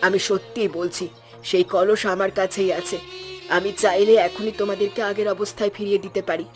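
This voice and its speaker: A woman's voice: medium pitch, level tone, brisk, lightly acted. Firm, moralizing old village woman acted by a woman. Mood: earnest, forgiving. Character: stern, principled old village woman who preaches against greed. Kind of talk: Bengali fairy-tale cartoon voice acting. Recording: music behind the voice. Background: background music.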